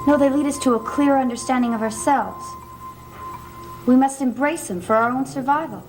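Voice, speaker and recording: A woman's voice: sits high, moderate pace, natural voice, rough recording. An actress playing an otherworldly, self-possessed young woman in a gothic television soap, with a cool, enigmatic manner. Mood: eerie, entranced. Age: young adult. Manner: cool, enigmatic, declining politely. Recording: old TV soundtrack with organ music.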